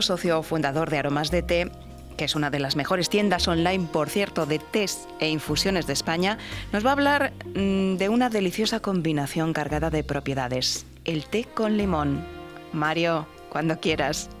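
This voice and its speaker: Lady radio host reading intros smoothly, faint music behind. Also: lowish voice, moderate pace. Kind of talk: radio presenting.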